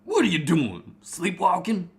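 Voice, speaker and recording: Man playing a wary desert guide, very animated pitch. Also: unhurried, exaggerated voice. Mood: wry. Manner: matter-of-fact, advising. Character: seasoned, wary male desert nomad guide.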